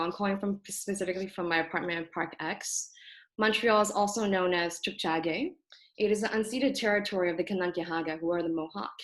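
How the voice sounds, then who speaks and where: A woman's voice: medium pitch, low-quality recording. A young woman from Toronto whose first language is Cantonese, hosting a group video call from her apartment, speaking thoughtfully with many ums and self-corrections. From Toronto, Canada. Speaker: host of a community video call discussion. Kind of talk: casual, earnest introduction to an online group talk. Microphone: computer on a video call.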